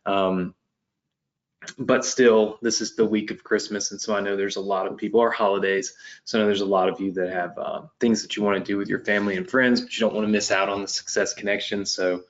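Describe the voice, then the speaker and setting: A man's voice: fairly low pitch, little pitch movement, fairly quick, muffled recording. A man in his late thirties hosting a live business webinar, talking loosely with many fillers and restarts. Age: 30s. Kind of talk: casual live-stream talk. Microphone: webcam setup at home desk.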